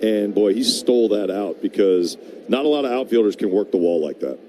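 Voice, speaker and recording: A man's voice: lowish voice, animated, a little fast, mellow. A man giving conversational, explanatory color commentary on a live baseball radio broadcast. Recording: faint background noise.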